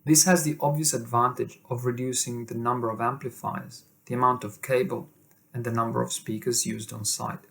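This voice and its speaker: A man's voice: medium pitch, fairly even intonation, moderate pace, vocal fry, a bit bright. Man narrating a corporate product video. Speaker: company product presenter.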